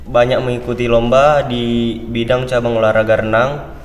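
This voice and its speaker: A teenage boy, a student guest, telling his story in a plain, measured way. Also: mid-range voice, little pitch movement, slight background noise. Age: teens. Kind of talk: casual interview answers. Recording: echoey room with reverb.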